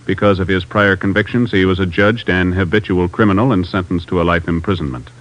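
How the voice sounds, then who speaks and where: A man's voice: low-pitched, fairly even intonation, a little fast. A man announcing in a formal, scripted 1950s radio style. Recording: muffled vintage radio broadcast, music behind.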